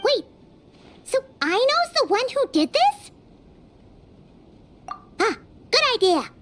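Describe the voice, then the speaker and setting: A woman voicing a small, excitable childlike fairy companion in a video game, squeaky and exclamatory. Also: takes it slowly, faint music behind, heavily acted. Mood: alarmed, concerned. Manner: exclamatory, refers to herself in third person.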